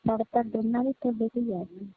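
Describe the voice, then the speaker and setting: A woman's voice: on the high side, fairly even intonation, fast talker, no room sound. A young adult woman, chatting casually and privately on the telephone. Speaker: niece on a phone call. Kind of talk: casual private phone chat. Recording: tinny, band-limited phone call recording.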